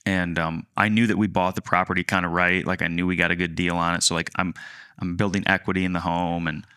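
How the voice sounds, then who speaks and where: Deep-voiced man telling stories, casual and halting. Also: level tone, pushed and bright, clean tone, dry recording, clean studio sound. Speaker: podcast co-host, land agent. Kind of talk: casual storytelling chat.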